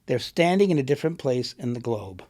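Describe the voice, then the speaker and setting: A man's voice: mid-range voice, very animated, a little fast, darkish tone. A middle-aged man, an author and poet, being interviewed and thinking aloud with a reflective, rambling manner. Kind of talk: conversational interview.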